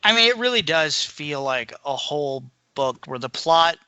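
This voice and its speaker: A man's voice: a little high, lively intonation, normal speed, pushed and bright, clean tone, no echo, dull audio. A man co-hosting a book podcast, chatting casually about what he has read. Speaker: podcast co-host discussing books.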